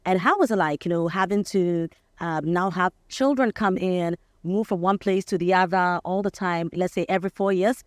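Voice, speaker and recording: A woman's voice: fairly low pitch, moderate pace, few pauses, no echo. A woman in her thirties with a Ghanaian accent, chatting as the host of a live television interview. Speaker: TV breakfast show host. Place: outdoor terrace set.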